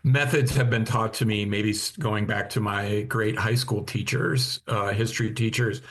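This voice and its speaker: Measured lower-voiced Midwestern man. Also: steady tone. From American Midwest. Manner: thoughtful, measured, with many fillers. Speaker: museum historian guest. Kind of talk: casual interview chat. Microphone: video call.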